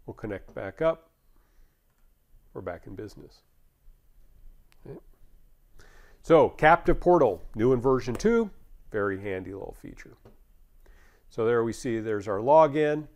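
An American man in his fifties working as a technical trainer, explaining a software demonstration step by step in a conversational way. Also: medium pitch, lively intonation, unhurried, pauses often.

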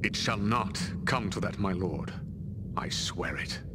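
A man's voice: on the low side, expressive, unhurried, loud music behind the voice. An actor playing a servile thief captain in a fantasy video game, formal and deferential as if reporting to his master. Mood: submissive. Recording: very noisy game capture.

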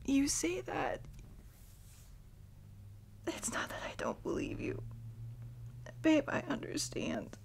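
A woman playing a tearful, insecure girlfriend in an ASMR roleplay, crying and speaking in halting, breathy, self-deprecating phrases. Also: high voice, huge pitch swings, full character voice. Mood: tearful reassurance. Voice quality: breathy, whispery. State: crying, sniffly. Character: tearful, insecure young girlfriend seeking comfort.

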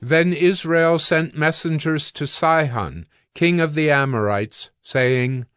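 A man narrating scripture from a script in a measured, solemn storytelling manner. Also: a little high, smooth, no room sound, clean studio sound. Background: soft music.

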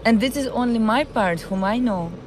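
A woman with a Ukrainian accent, live-streaming from a city park and chatting with her viewers in a halting way, often searching for words. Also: on the high side, background noise. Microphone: phone.

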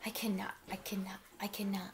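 A woman voicing a tired, rusty old steam engine in a storybook, sighing, slow and resigned. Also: fairly high pitch, sing-song, pushed and bright, exaggerated voice. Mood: faint, defeated. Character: weary old rusty steam engine, defeated and self-doubting.

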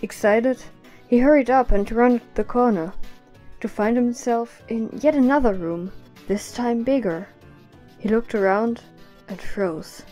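A woman's voice: fairly high pitch, unhurried, dark tone, faint music behind. A woman narrating a scripted story as the narrator of an audio drama.

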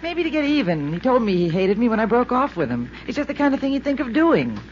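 An actress playing a frightened young widow who is anxious and pleading, in a 1950s radio drama. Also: female voice, on the high side, big pitch swings, quick pace, a little mellow. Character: frightened widow in a burned-out house. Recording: dull vintage radio recording, faint hiss.

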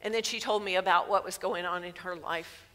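A woman in her sixties preaching a sermon in a church sanctuary through a headset mic, measured and emphatic. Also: on the low side, steady tone, fairly bright tone. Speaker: pastor. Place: large church sanctuary, indoors. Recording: long reverb of a church hall.

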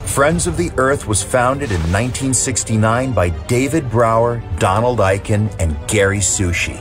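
A man's voice: medium pitch, expressive, moderate pace, music in the background. A man narrating a scripted history documentary.

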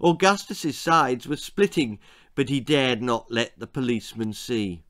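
A man in his sixties reading a children's story aloud in an expressive, theatrical way. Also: mid-range voice, unhurried. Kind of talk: dramatic children's story narration. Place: small book-lined room indoors.

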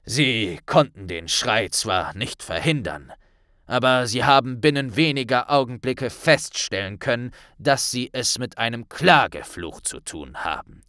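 A man voicing a grave, authoritative middle-aged wizard official with a gruff, deliberate delivery in an audiobook. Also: mid-range voice, expressive, pushed and bright, no room sound, clean studio sound.

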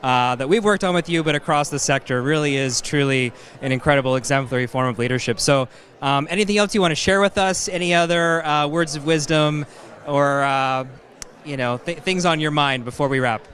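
A man's voice: fairly high pitch, brisk, fairly bright tone. A man in his thirties interviewing guests at a conference booth with a handheld mic, enthusiastic and encouraging. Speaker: interviewer for a conference live studio. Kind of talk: upbeat live event interview. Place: conference hall booth, indoors.

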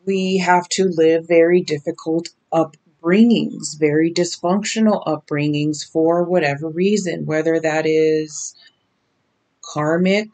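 A woman in her thirties, raspy from lack of sleep, giving a slow, emphatic tarot reading to the camera in a small bedroom. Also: low voice, steady tone, a little mellow, poor audio. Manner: slow, emphatic, drawn-out warnings. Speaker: tarot reader. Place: small decorated bedroom indoors.

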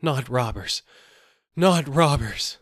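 Brief: male reader doing an old merchant, kind, pleading, panicky gasps, pitched high. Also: very animated, a little slow, a bit bright, slightly rough, dry recording, studio quality, heavily acted. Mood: terrified. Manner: pleading, then gasping in panic.

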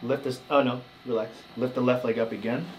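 A man's voice: mid-range voice, steady tone, fairly quick, slight background noise, low-quality recording. A man in his thirties working as a chiropractor, giving short casual instructions and reassuring check-ins to a patient in a small treatment room. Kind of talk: casual hands-on talk with a patient.

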